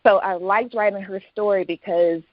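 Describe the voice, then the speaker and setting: A woman's voice: medium pitch, steady tone, normal speed, no room sound, muffled recording. A woman speaking as a guest author in a casual interview, explaining things in a conversational way.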